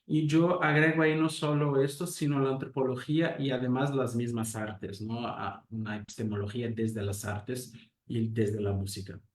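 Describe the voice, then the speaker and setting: A man giving an academic talk as a lecturer, a native Portuguese speaker who speaks thoughtfully and hesitantly, pausing with fillers and asking rhetorical questions. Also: medium pitch. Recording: roomy echo.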